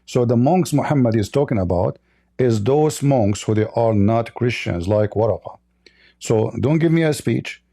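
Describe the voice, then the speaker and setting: A man with a gravelly, dark voice and an Arabic accent argues hard as the host of a call-in debate. He fires off sarcastic rhetorical questions in a dry, close recording. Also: mid-range voice, animated, moderate pace, no room sound. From Middle East.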